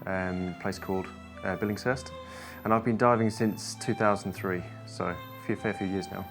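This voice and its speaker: A man who dives on wrecks, giving relaxed, casual interview answers with fillers. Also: sits low, moderate pace, music in the background. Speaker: wreck diver.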